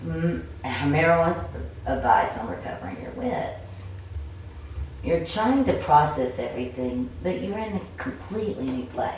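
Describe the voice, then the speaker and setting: A woman cold-reading fantasy narration from a story game, a little halting as she goes. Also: low voice, sing-song, a little mellow. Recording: very echoey room, distant mic, faint noise.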